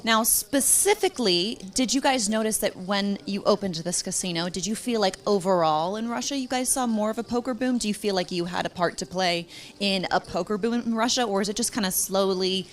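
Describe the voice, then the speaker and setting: A woman's voice: medium pitch, a little fast. A woman in her thirties hosting an on-camera interview, with a bubbly and chatty manner. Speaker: poker interviewer. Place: casino floor.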